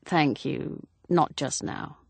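A woman's voice: low-pitched, gravelly, a little mellow, slightly rough, no room sound, exaggerated voice. A woman voicing a reserved, formal male police inspector in a storybook reading. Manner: cautious, measured, formal.

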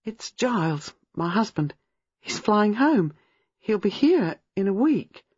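A woman reading a storybook, playing a distraught young married woman who is frightened, halting and agitated. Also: medium pitch, big pitch swings, breathy edge, no echo, dull audio, voice pushed up. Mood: bewildered, miserable. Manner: agitated, halting, rushing in bursts.

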